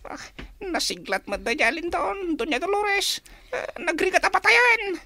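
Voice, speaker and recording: A woman's voice: very high-pitched, lively intonation, a little slow, vocal fry, sharp tone, dry recording, heavily acted. A Filipino woman playing a timid, whining henchman who hesitates and pleads in a radio drama. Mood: nervous.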